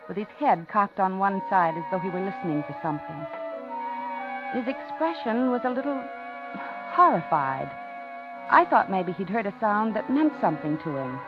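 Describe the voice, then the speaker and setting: An actress as a lively, uneasy 1950s radio widow. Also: female voice, medium pitch, lightly acted. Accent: American. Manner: genteel, confiding, polite. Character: polite, uneasy widowed office worker woman, recounting nervously. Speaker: radio drama lead and narrator. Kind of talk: 1950s American radio suspense acting. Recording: muffled 1950s radio recording, organ music.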